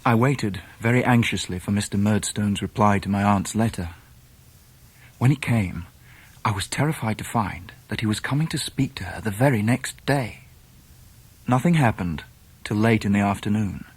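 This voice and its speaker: An actor playing a grown man recounting his boyhood in measured, literary English-accented narration for a radio drama. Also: on the low side, slightly rough. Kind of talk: scripted first-person narration, radio drama.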